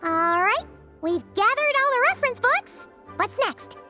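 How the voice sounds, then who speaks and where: A woman voicing a tiny, childlike fairy companion in a video game, squeaky, excitable and naive. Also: unhurried, music in the background. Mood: eager. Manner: squeaky, speaks of self in third person.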